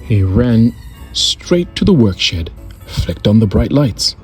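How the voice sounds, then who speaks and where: A man hosting a storytime, narrating a children's picture book with deliberate, expressive delivery. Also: lowish voice, slightly rough. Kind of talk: children's read-aloud narration. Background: music.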